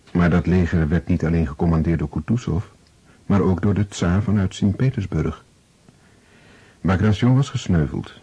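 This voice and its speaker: A man narrating a literary radio drama in a measured, serious, scripted manner. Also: sits low, warm tone, breathy edge.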